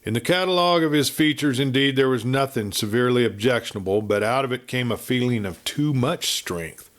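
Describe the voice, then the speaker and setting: A man narrating a Western audiobook as a dramatic storyteller, with measured, theatrical phrasing. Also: mid-range voice.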